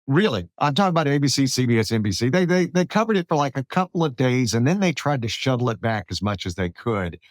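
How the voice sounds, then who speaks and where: Halting male podcast host, dry sound. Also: medium pitch, big pitch swings, no echo. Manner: halting, repeats words as he searches for phrasing. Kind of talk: conversational political interview.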